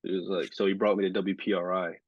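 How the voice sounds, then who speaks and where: Casual guest, twenties, flat monotone, deep-ish voice, dry close mic. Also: male voice, fast talker, no echo, lo-fi sound. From Rhode Island. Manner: monotone delivery, filler-heavy. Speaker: sports reporter and anchor, podcast guest. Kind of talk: casual interview chat.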